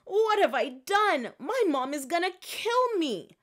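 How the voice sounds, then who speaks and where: A woman's voice: very high voice, very animated, slow, fairly bright tone, voice pushed up, exaggerated voice. A woman voicing an eager, pleading young girl in a children's storybook, with an insistent, dramatic manner. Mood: alarmed. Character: eager, pleading young schoolgirl who loves markers.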